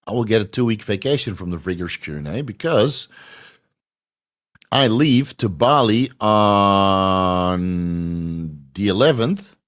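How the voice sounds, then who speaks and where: Hoarse, blunt man cursing casually. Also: medium pitch. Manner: blunt, profane, joking. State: hoarse, losing his voice. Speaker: podcast host answering viewer questions. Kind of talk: casual live Q&A.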